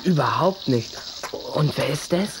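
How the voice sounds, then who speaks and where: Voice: medium pitch, expressive, unhurried, very noisy, natural voice. A young performer playing a shy, sulky boy in a children's radio play, hesitant and defensive in manner. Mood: flustered.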